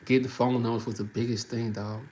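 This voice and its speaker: A man's voice: mid-range voice, level tone, fast talker, a little mellow, slight background noise. A man, chatting loosely and energetically on a livestream and often saying "bro". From Louisiana. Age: 30s. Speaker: livestream host. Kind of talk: casual livestream chat, reacting to comments.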